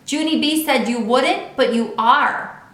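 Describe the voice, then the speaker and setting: Woman playing bossy, high-voiced little schoolgirl. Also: measured pace, fairly bright tone. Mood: indignant. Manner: insistent, emphatic. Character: sparkly, possessive, bossy young schoolgirl Lucille. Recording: echoey untreated room.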